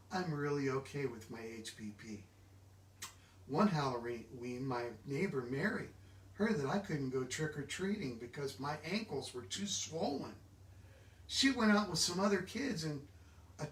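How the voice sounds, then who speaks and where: A man with a fairly high-pitched voice reads a children's book aloud. He sounds set back from the microphone in a very echoey room, and he now and then trips over a long word. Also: a bit bright, far from the mic. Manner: stumbles over long words. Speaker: book reader.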